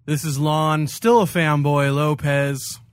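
A man's voice: fairly high pitch, fairly even intonation, takes it slowly, smooth, no echo, clean studio sound. A man co-hosting a podcast with jokey banter and a cheeky, irreverent manner. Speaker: podcast co-host and announcer.

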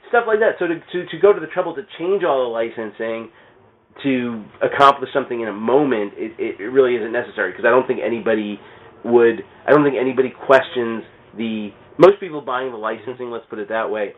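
Man, casual chat, halting and repetitive phrasing, narrow phone-like sound, medium pitch. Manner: halting, repeats words and restarts mid-sentence. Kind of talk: casual chat about comic books. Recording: thin, band-limited phone-like radio sound.

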